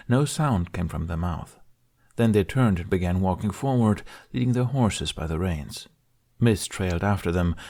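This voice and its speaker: A man reading a fantasy story aloud as narrator, in an unhurried, measured way. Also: fairly low pitch, darkish tone. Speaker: fan fiction audiobook reader.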